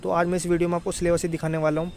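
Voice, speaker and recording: Young man tutoring, slightly high voice. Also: little pitch movement, very fast, gravelly, a little mellow, slight background noise. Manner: colloquial, repeatedly addresses listeners as brother, checks understanding. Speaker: exam coaching tutor. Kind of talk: informal syllabus explainer, talking to students.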